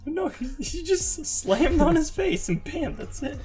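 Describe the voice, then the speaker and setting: A man's voice: very high voice, sing-song, darkish tone. Casual male podcaster over faint music. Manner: halting, circling explanations peppered with "like". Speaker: podcast participant and hobby voice actor. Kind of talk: casual chat.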